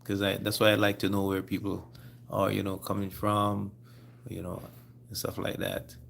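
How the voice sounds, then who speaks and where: A man with a Jamaican accent hosting a casual live stream, speaking haltingly and repeating his phrases. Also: sits low, completely flat delivery, gravelly, a bit bright, slight background noise. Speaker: livestream host.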